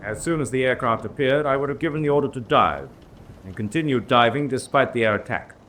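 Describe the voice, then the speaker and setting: An actor playing a proud, self-assured U-boat commander in a radio drama, speaking with clipped certainty. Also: male voice, mid-range voice, expressive, brisk, a bit bright, slight background noise, natural voice. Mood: haughty, assured. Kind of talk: radio drama courtroom acting.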